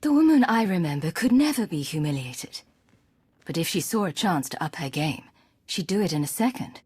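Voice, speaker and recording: A composed female agent in a video game. She is cool and measured, with a lowish, husky voice and probing delivery. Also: big pitch swings, darkish tone, no room sound, exaggerated voice. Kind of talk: video game cutscene acting.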